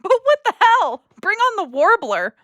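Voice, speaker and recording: A woman's voice: very high voice, sing-song, normal speed, a bit bright, no room sound, clean studio sound, exaggerated voice. A young woman playing a world-weary, easily exasperated daughter in an audio play, blunt and sardonic. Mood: exasperated, sarcastic. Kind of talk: naturalistic audio play acting.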